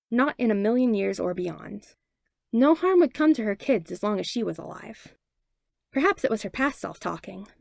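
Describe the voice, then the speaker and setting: A woman reading fan fiction aloud as a podfic narrator, with expressive, animated storytelling. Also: fairly high pitch, vocal fry, dry recording, clean studio sound. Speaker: podfic reader.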